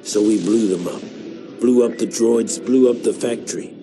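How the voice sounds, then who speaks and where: An actor playing a battle-hardened, proud clone soldier who narrates a video game war journal in a measured, dry, wry way. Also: male voice, mid-range voice, steady tone, music in the background, lightly acted. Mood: wry, gritty. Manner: measured, deliberate, wry storytelling.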